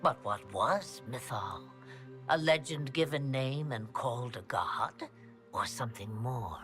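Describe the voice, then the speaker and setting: A woman's voice: extremely low pitch, lively intonation, fairly bright tone, full character voice. An actress playing an ancient, regal witch of legend in a video game, speaking in a cryptic, wry, theatrical manner. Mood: cryptic, grand. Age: older. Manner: theatrical, grandly cryptic, deliberate phrasing. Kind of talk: dramatic video game acting. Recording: orchestral game music behind the voice.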